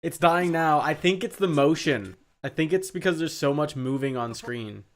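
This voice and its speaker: A man's voice: fairly high pitch, animated, moderate pace. A man giving casual live commentary on a game stream, chatting with a friend and often repeating himself. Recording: music playing behind the voice. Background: video game music.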